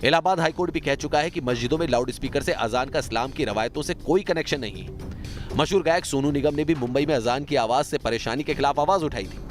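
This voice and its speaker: Male TV news narrator over music. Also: fairly high pitch. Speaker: TV news reporter doing voice-over. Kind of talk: dramatic scripted news narration. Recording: music behind the voice. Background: news music bed.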